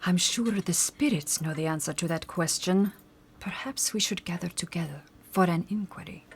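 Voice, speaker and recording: A woman playing a sly, mysterious spirit medium in an amateur radio drama, hushed and knowing. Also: lowish voice, moderate pace, a little hiss or hum, close to an everyday voice. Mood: sly, eerie. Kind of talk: amateur old-time radio drama acting.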